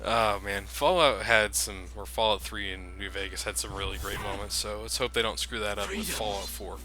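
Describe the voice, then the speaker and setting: A man's voice: on the low side, normal speed, sharp tone. A man hosting a video game playthrough with casual, rambling, conversational commentary. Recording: clearly noisy background.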